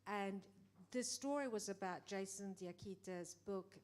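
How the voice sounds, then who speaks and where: A middle-aged woman producer speaking in a conversation, animated, acting out quoted exchanges, with many restarts. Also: mid-range voice, a little slow. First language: English. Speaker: film producer. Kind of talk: panel conversation.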